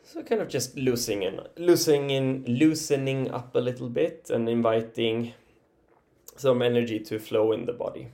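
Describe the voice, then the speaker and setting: A man leading an improvised guided body relaxation as a meditation teacher, speaking gently and haltingly with long pauses. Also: mid-range voice, steady tone, gravelly.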